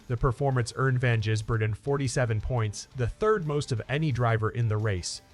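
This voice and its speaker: A man's voice: medium pitch, moderate pace. A man narrating scripted motorsport news in an upbeat, emphatic presenter style. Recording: music under the voice. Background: background music.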